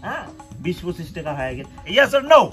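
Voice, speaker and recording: A man's voice: high voice, big pitch swings, a little fast, fairly bright tone. A man playing a pushy, evasive network-marketing recruiter in a comedy sketch, speaking a northern Bengali dialect and repeatedly badgering for agreement. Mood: insistent. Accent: northern Bengali regional dialect. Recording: music laid under the dialogue.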